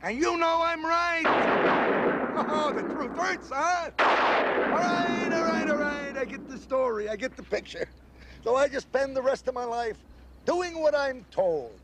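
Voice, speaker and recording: A man's voice: very high-pitched, lively intonation, measured pace, noisy background. An actor playing an exasperated American man, griping and talkative with a sarcastic edge, in a television drama. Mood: indignant, sarcastic. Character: exasperated sarcastic human partner of an angel.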